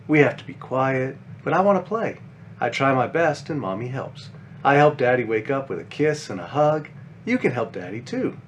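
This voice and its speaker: A man's voice: medium pitch, a little hiss or hum, close to an everyday voice. A man voicing a caring five-year-old big sister bunny from a children's picture book, explaining things simply and earnestly.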